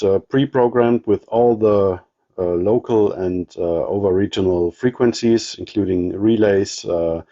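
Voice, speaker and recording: Hobbyist man, German accent, halting show-and-tell delivery, darkish tone. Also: fairly low pitch, dark tone, muffled recording. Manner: halting, with many ums and restarts. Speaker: ham radio hobbyist showing his gear. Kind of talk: casual show-and-tell walkthrough.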